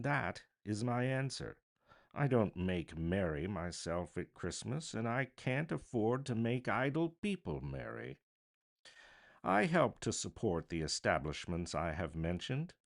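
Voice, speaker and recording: A man's voice: lowish voice, expressive, a little slow, gravelly, voice pushed up. A man voicing a cold, miserly, scornful old businessman from a storybook, with curt, contemptuous, emphatic delivery. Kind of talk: storybook character reading.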